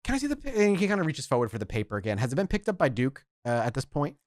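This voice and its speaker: Male storyteller, tabletop game narration, lively intonation, improvised revised phrasing, dry close recording. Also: medium pitch, rapid-fire, no room sound.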